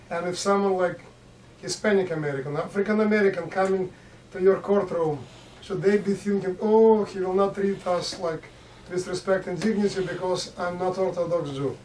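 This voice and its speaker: High-voiced man moderating, distant and roomy. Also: fairly even intonation, moderate pace, a little mellow. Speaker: forum moderator and host. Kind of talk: addressing panel at community forum. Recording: roomy, distant mic, faint noise.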